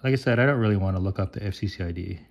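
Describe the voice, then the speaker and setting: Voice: low-pitched, very fast, creaky, darkish tone. A host of a gadget teardown video giving casual hands-on commentary in a rambling, wry, mildly profane way. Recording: noisy room, clicking tools nearby.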